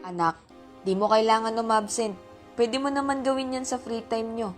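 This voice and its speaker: A woman's voice: on the high side, fairly even intonation, normal speed, lightly acted. A woman playing an anxious, stern mother in an amateur radio drama. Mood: stern, questioning. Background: background music.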